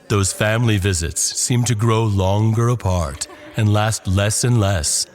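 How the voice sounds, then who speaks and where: A man narrating a scripted horror story, with dramatic pauses and drawn-out phrases. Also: on the low side, darkish tone.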